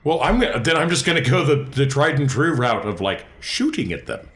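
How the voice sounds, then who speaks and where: A man playing a tabletop game, chatting casually in a joking, halting way with a roomy echo around him. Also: mid-range voice, big pitch swings, fairly bright tone. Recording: roomy echo, faint music behind.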